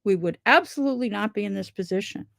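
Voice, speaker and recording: A woman's voice: medium pitch, expressive, fast talker, vocal fry, a bit bright, no echo, clean studio sound. A middle-aged woman talking casually to camera from home about a town petition, earnest and rambling, often restarting her sentences. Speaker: local resident promoting a town petition. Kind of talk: casual direct-to-camera talk.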